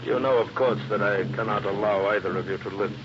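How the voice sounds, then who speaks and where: An actor playing a suave, coldly polite villain in a 1950s radio drama, formal, mock-courteous and condescending. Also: male voice, on the low side, fairly even intonation, brisk, music in the background, close to an everyday voice. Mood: smug, cold. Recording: muffled old radio recording, heavy noise.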